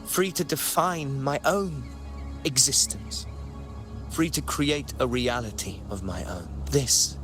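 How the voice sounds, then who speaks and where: A proud male warrior from a video game, voiced by an actor, speaking in formal, noble phrases with a solemn resolve. His voice sits at a middle pitch over loud music. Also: lightly acted. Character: proud, courtly male warrior seeking to write his own destiny. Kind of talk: scripted video game voice acting.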